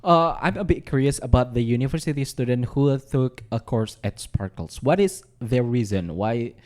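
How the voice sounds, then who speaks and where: A man's voice: mid-range voice, moderate pace, a little mellow. Man, Indonesian accent, radio host, chatty interviewer, mid-range voice. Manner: chatty, many "okay"s, mixes in Indonesian.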